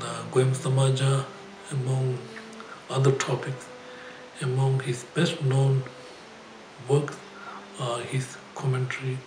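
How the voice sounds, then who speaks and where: An older man, a Buddhist teacher, reading a biography aloud haltingly with frequent pauses. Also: mid-range voice. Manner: halting, with pauses and throat clearing. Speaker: Buddhist teacher and spiritual director. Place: indoor shrine room, seated at a desk. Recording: echoey room, clear background noise.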